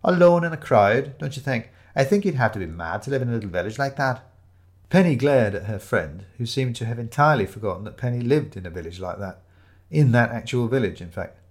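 A man narrating a scripted comic murder mystery in a wry, expressive storytelling manner. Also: medium pitch, a little fast.

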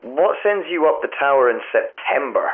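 An actor playing a hesitant, wry and affable phone tester in an audio drama, talking in a halting, bemused way through a telephone filter. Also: male voice, medium pitch, lively intonation. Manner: halting, vague, literal-minded, politely bemused. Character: hesitant, affable, wry man who tests the tower phones. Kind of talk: naturalistic audio drama dialogue. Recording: thin phone-line sound, music underneath.

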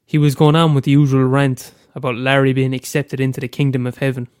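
A man's voice: mid-range voice, level tone, quick pace, darkish tone, clear, resonant tone, no echo, clean studio sound. A young Irish man with a head cold, reading aloud a short story he wrote, after a hesitant casual introduction. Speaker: amateur storyteller.

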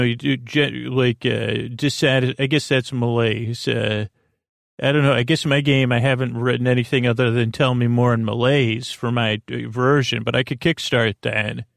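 A man with a creaky, gravelly voice hosts a rambling bedtime podcast. He speaks directly to the listener in halting, looping sentences that often backtrack. The sound is close and very dry. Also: mid-range voice, no echo. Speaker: podcast host.

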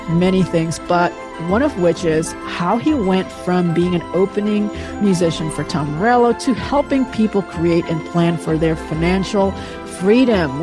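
Podcast host, enthusiastic, upbeat intro, lots of fillers, middle pitch, music running underneath. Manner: enthusiastic, hypes guests with frequent fillers. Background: background music.

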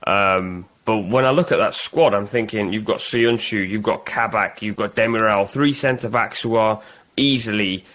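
A man's voice: lowish voice, normal speed, fairly bright tone. A man hosting a football podcast, asking guests questions in an upbeat, emphatic way. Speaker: podcast host. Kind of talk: casual sports chat.

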